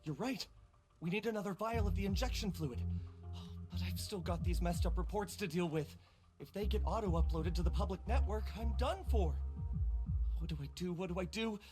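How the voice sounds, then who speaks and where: A man's voice: sits high, moderate pace, over music, lightly acted. A man playing an arrogant male researcher in a video game, haughty and whiny, prone to stammering when rattled. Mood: panicked. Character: arrogant, easily flustered male lab researcher.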